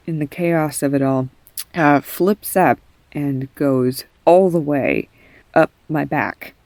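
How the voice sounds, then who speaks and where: A woman's voice: very deep voice, darkish tone, no room sound, full character voice. A young woman playing an affectionate, self-deprecating girlfriend in an intimate roleplay audio, rambling candidly and casually. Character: affectionate, self-deprecating girlfriend comforting her partner, goofy storyteller.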